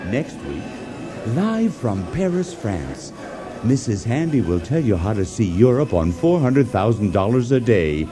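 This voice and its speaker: Animated, hearty parody show announcer. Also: male voice, fairly low pitch, measured pace. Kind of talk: comic radio announcing. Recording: clear music behind the voice.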